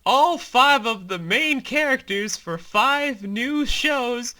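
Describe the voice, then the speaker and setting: A man's voice: extremely high pitch, animated, slow, sharp tone. A man co-hosting a behind-the-scenes commentary as the creator, chatting casually and explaining things in an enthusiastic, rambling way.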